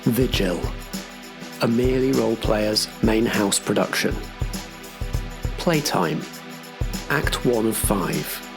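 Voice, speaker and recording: A man with a slightly husky voice, introducing and hosting a tabletop roleplaying production as game master. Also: lowish voice, takes it slowly, pauses often, music in the background.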